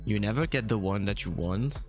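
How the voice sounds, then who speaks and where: A man's voice: fairly low pitch, normal speed, faint music behind. A man talking casually and bluntly on a gaming podcast, swearing freely. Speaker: podcast panelist.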